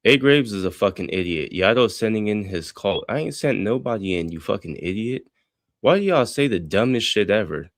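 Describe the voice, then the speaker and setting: A man's voice: on the low side, animated, normal speed, no echo, studio quality. An older man streaming, mocking another streamer with sarcastic, profane commentary.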